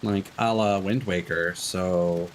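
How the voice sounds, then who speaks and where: A man's voice: deep voice, steady tone, takes it slowly, slight background noise. A man giving casual, informal commentary on a gaming stream, with some swearing. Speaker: live streamer.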